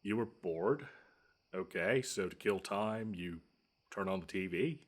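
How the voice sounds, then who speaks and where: Audio roleplay monster, male actor: very low voice, smug, taunting, theatrical, menacing but quick to get flustered. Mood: startled, demanding, then incredulous, haughty. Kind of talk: scripted one-sided audio roleplay.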